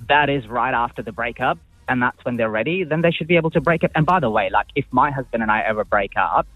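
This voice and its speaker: Husky man with a mixed international accent. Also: mid-range voice, expressive, fast talker, no room sound. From Pakistan. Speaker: radio phone-in caller. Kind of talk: casual opinion on a call. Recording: tinny phone line, music behind.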